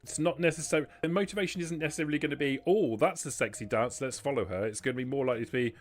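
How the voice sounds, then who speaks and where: A man acting as game master, explaining rules and lore in a measured, detailed way. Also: mid-range voice.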